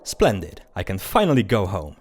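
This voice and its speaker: A performer voicing a brisk, dry afterlife official with an officious, mildly bored manner in an amateur audio drama. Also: low voice, wildly swooping pitch, a little mellow, a little hiss or hum.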